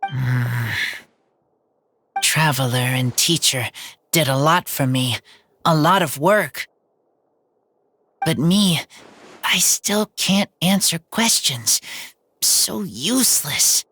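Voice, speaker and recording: A performer voicing a shy, earnest feral wolf boy in an anime-style game, speaking in halting, simple sentences. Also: mid-range voice, bright, forceful tone, husky, exaggerated voice. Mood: downcast.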